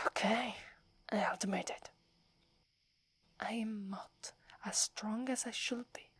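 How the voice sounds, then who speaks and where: A woman's voice: mid-range voice, breathy edge. A woman from Italy with an Italian accent, whispering as a proud, wary young female werewolf who is prickly and defensive. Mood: embarrassed, defensive. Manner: haughty, grudging, defensive. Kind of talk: ASMR roleplay.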